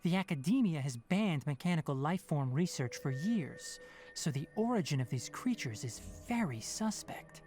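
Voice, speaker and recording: An actor playing an earnest young male forest ranger and scholar in a video game, speaking in a formal, measured, articulate way with gentle sternness. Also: on the high side, expressive, faint music behind, natural voice. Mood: serious, explaining. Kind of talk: scripted video game voice acting.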